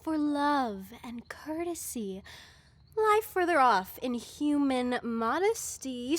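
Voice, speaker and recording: A woman's voice: on the high side, a bit bright, no echo. A woman playing a modest, affectionate young maiden in love in a Shakespearean verse audio drama, theatrical.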